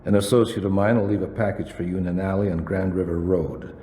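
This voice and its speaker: An actor playing a cold, menacing, articulate crime boss with formal, measured wording in a video game cutscene. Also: male voice, deep voice, steady tone, dark tone, lightly acted. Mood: calm, calculating. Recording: echoey reverberant space with background noise.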